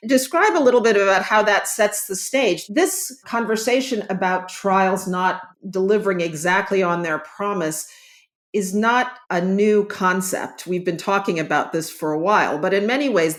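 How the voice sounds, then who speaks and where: A woman hosting a professional interview, speaking in a measured, articulate way as she sums up and frames questions. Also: mid-range voice. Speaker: interviewer, journal editor host.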